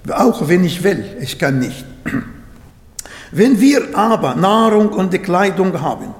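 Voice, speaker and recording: A man who is not a native German speaker, preaching a sermon in slow, halting German with restarts. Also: high voice, big pitch swings. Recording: echoey hall.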